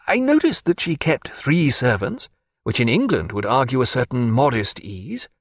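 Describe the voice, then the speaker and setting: A guarded doctor speaks with careful, formal precision, never rushing a word. His voice is husky and lively in pitch, as a narrator gives him voice, recorded close and dry. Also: no echo. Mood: probing. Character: measured, guarded physician man.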